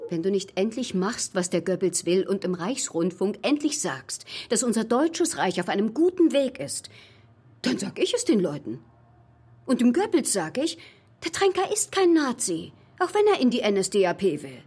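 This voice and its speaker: Husky, scolding, threatening wife. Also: female voice, medium pitch, big pitch swings, normal speed, darkish tone. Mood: angry, threatening. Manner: scolding, biting. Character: angry, threatening wife of a film maker. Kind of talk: German radio play acting.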